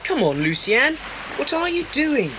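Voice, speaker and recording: A woman's voice: big pitch swings, unhurried. A woman with an English accent playing an alert, responsible, practical boy in a 1980s children's audio drama. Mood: responsible, brisk. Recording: noisy cassette, engine rumble behind.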